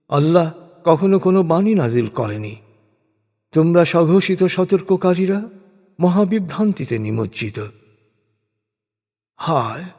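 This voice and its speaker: A man giving a solemn, measured reading of translated scripture in short, declaimed phrases. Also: fairly high pitch, darkish tone, breathy edge. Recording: long reverb on the voice.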